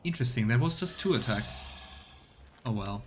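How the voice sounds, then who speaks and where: A man streaming a video game, chatting casually and reading game text aloud in a relaxed, musing way. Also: medium pitch, moderate pace, faint music behind. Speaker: let's play streamer.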